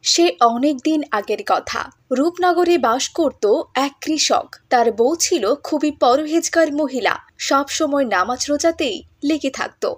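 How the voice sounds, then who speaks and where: Female narrator, high voice, scripted storytelling. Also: animated, takes it slowly.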